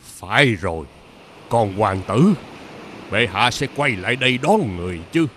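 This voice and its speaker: A man playing a kindly old mandarin in an animated fairy tale, gentle and deferential. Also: on the low side, measured pace, a little hiss or hum. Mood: earnest, gentle. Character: kindly old court mandarin posing as a grandfather. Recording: background music under the voice.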